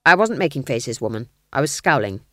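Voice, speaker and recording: A woman's voice: a bass voice, lively intonation, fairly quick, pushed and bright, no echo, pitched below the performer's own voice, heavily acted. A proud royal ghost from a fantasy audiobook, acted by a woman. He speaks in clipped, haughty corrections with stiff dignity. The recording is close and dry. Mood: indignant, dignified. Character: dead king's ghost, proud, stiffly dignified older man.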